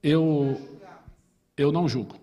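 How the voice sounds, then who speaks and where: A Brazilian-accented pastor leads a Bible class in a church hall, his somewhat high voice husky and breathy. He talks in an easy, chatty way, quizzing the listeners. Long reverb trails each phrase. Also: slow pace, warm tone. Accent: Brazilian Portuguese. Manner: chatty, asks the class questions, tells personal stories. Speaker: pastor teaching a Sunday school class. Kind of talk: conversational Bible class teaching.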